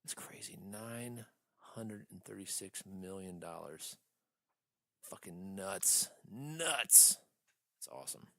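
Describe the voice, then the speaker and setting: Gravelly, low-pitched man bantering casually. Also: lively intonation, slow pace, bright, forceful tone, dry recording. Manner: blunt, slangy, swears often. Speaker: podcast co-host. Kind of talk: casual geek-culture podcast banter.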